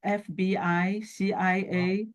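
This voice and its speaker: Slow-spoken middle-aged woman with a Chinese accent. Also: mid-range voice, little pitch movement. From China. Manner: halting, pausing between short phrases. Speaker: guest, scientist and entrepreneur. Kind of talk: talk show interview, telling personal background.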